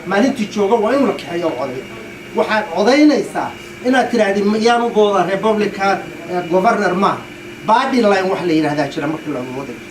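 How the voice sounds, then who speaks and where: A man's voice: high voice, expressive, quick pace. A man giving an emphatic, rallying political speech, repeating points and putting questions to listeners. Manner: emphatic, repetitive, rhetorical questioning. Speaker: community elder speaking at a political meet-up. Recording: distant mic in noisy echoey room.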